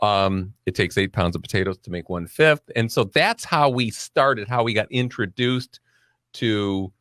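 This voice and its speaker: A man's voice: lowish voice, moderate pace, fairly bright tone, no echo. Dry-voiced man telling a business story. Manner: storytelling, wry asides. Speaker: vodka brand founder, podcast guest. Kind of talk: casual podcast chat.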